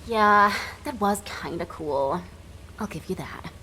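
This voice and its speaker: A woman's voice: medium pitch, animated, slow pace, bright, forceful tone, distant mic, a little hiss or hum, low-quality recording. An actress playing an irreverent, easily irritated young female fighter in a game, casual and grudging. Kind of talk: scripted game dialogue.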